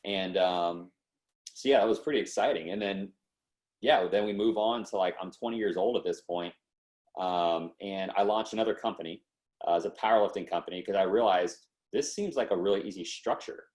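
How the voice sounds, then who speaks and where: Low-voiced young man telling stories. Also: fairly quick. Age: 20s. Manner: lively, slangy, acts out quotes. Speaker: podcast guest. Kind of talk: casual storytelling in an interview.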